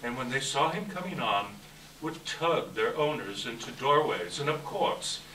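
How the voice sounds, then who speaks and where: A man reads a story aloud in a live event with a bright, forceful voice. The room echoes around him and he sits away from the mic, with faint noise underneath. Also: medium pitch, lively intonation, a little slow, far from the mic. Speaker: event presenter and story reader. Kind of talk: welcome remarks and dramatic live story reading. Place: library event room before an audience.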